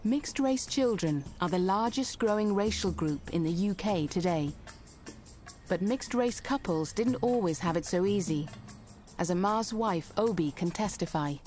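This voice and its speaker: A woman giving measured, scripted documentary narration. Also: mid-range voice, expressive, darkish tone. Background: music.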